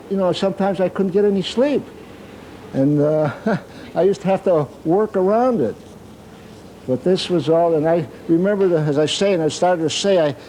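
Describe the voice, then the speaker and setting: A man's voice: fairly high pitch, mellow, slight background noise, poor audio. A man in his nineties, a Navy veteran telling rambling wartime stories aboard his old ship, restarting sentences and chuckling as he goes. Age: older. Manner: rambling, self-correcting anecdotes with chuckles. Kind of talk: reminiscing storytelling. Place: aboard an old destroyer, indoors and on deck.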